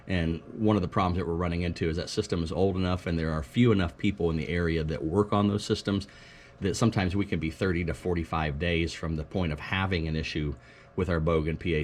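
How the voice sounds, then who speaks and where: Low-pitched male presenter, matter-of-fact informal demo narration, light background noise. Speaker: school technology staff presenter. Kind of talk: informal product demonstration narration.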